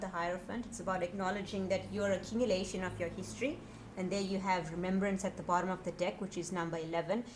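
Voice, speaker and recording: A woman giving a casual tarot reading to her viewers, chatty and earnest, her voice a little raspy. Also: medium pitch, slight background noise. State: slightly raspy voice. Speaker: tarot reader.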